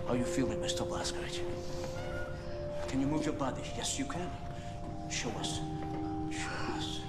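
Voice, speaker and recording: An actor playing an eccentric, kindly elderly scientist in a video game, fussy and rambling, repeating himself. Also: male voice, mid-range voice, normal speed, lightly acted. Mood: gentle delight. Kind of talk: dramatic video game acting. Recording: echoey hall, music behind.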